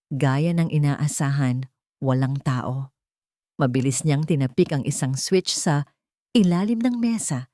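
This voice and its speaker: A woman's voice: low voice, mellow, no echo, studio quality. A woman narrating a scripted dramatic story in an earnest, suspenseful storytelling manner. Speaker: audiobook narrator.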